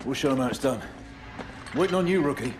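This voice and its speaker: An actor playing a cocky veteran special-forces soldier with a dry, mocking way of teasing. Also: male voice, mid-range voice, lively intonation, fast, very noisy, natural voice. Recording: music behind.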